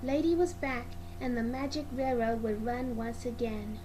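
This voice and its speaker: A woman reading a children's storybook aloud as narrator, in a slightly halting, amateur way. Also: fairly high pitch, fairly even intonation. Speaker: read-along narrator. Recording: clearly noisy amateur recording.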